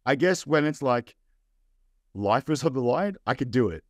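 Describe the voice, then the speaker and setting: A man's voice: medium pitch, lively intonation, moderate pace, no echo, studio quality. A young adult man with an Australian accent, chatting as a guest in a casual, rambling way with lots of fillers. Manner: laid-back, rambling with fillers. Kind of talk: casual interview.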